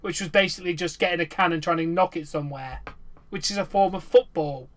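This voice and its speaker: A man hosting a podcast, making his points in a calm, matter-of-fact way. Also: fairly high pitch, fast. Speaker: podcast host. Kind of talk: casual chat.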